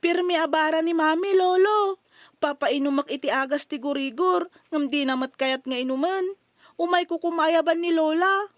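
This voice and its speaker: A woman's voice: extremely high pitch, normal speed, dry recording, clean studio sound. A woman playing a worried young child, anxious and pleading, in an Ilocano radio drama.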